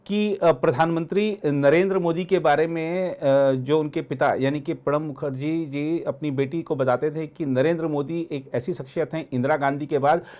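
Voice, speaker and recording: Male political commentator, voice a bit high, halting delivery with repeated phrases. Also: steady tone, a little mellow, muffled recording. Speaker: news editor and commentator. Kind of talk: political discussion.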